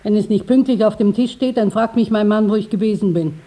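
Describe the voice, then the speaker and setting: A woman's voice: mid-range voice, brisk, warm tone, lightly acted, rough recording. An actress playing an anxious, chatty middle-aged mother with a plain, plaintive manner in a 1950s German radio play.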